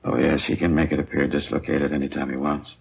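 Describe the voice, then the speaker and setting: A man's voice: extremely low pitch, little pitch movement, fast, vocal fry, husky, exaggerated voice. An actor playing a matter-of-fact, mildly absent-minded doctor in a 1950s radio crime drama, musing as he talks. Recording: dull old radio recording.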